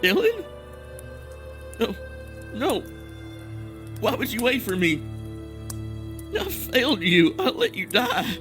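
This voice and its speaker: A man's voice: very high-pitched, wildly swooping pitch, slow pace, pauses often, music in the background, full character voice. An actor playing a guilt-ridden, self-condemning man in an audio drama, speaking in a formal, brooding way. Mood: anguished disbelief.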